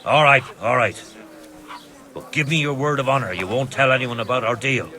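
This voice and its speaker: An actor playing a gruff, evasive innkeeper with shady dealings in a video game. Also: male voice, mid-range voice, normal speed, pushed and bright, natural voice. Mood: curt, defensive. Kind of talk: video game cutscene acting.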